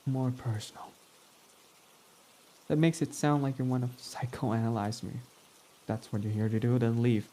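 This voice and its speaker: Guy doing a snarky villain dude, mellow low-key tone, all sarcasm and eye-rolling. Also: fairly even intonation, normal speed, long pauses, a little hiss or hum, lightly acted. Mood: irritated. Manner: sarcastic, mocking, dismissive. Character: snarky, prickly young male villain. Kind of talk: ASMR roleplay audio.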